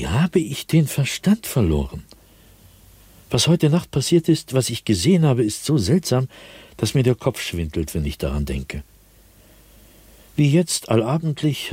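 An actor playing a tormented man sliding into madness, recounting his nightmares as a diary in a radio drama, in a brooding, halting manner that swings between hushed and agitated. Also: fairly low pitch, breathy edge, dry recording, heavily acted. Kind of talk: dramatic radio play monologue.

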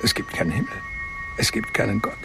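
A man's voice: a little fast, close to an everyday voice. An actor playing a brooding man who awaits judgment day, speaking in grim half-whispers. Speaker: radio play actor. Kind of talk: radio drama acting. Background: music.

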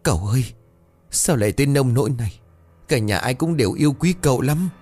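A man's voice: medium pitch, animated, brisk, a little mellow, husky, dry recording, soft background music, voice pushed up. A man voicing a tearful old housemaid. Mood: grieving. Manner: tearful, pleading. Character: older female housemaid, grieving.

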